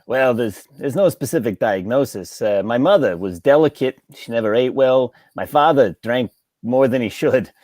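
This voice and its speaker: A man playing a thin, sickly, timid yet stubborn barber in a table read, with a husky voice and dry manner. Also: mid-range voice, expressive, moderate pace, a little mellow, dry recording, lightly acted. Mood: sheepish.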